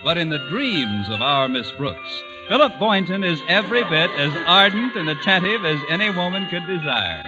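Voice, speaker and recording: A man's voice: a little high, very animated, moderate pace, hardly any pauses. A man reading scripted narration as the announcer of a vintage radio show. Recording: dull old radio broadcast recording. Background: music.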